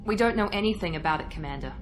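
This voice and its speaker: A cautious, professional female officer from a science fiction game, acted by a woman. Her voice is a little low, with measured, formal delivery. Music plays clearly behind her. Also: expressive, close to an everyday voice. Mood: wary. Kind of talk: video game acting.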